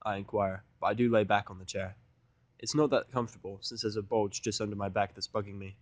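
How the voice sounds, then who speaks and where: A man's voice: on the low side, steady tone, quick pace, no echo. A man reading a first-person fan fiction audiodrama in a conversational, blunt, sardonic and profane manner. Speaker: fan fiction reader and narrator.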